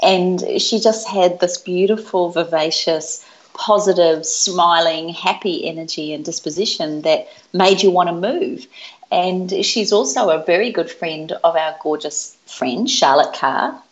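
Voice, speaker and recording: A woman with a low voice tells stories warmly and with gushing praise. The sound is a bit dull and lo-fi. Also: measured pace. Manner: warm, effusive storytelling. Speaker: podcast co-host. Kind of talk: casual chat.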